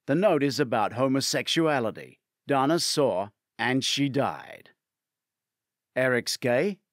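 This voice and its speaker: Guy narrating a novel, takes it slow, mid pitch, very dry sound. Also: expressive, no echo. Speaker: audiobook narrator.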